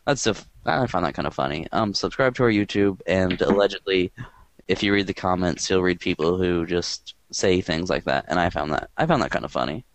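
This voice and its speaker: A man hosting a podcast in casual chat, with a quiet, monotone voice and a rambling, dry way of talking. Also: deep voice, brisk, dry recording, poor audio. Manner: rambling and dry, filler-heavy. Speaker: podcast host.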